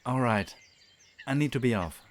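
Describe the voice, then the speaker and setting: A man playing a gentle young man in an audio drama, speaking softly and quietly. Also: mid-range voice, animated, normal speed, background noise, close to an everyday voice.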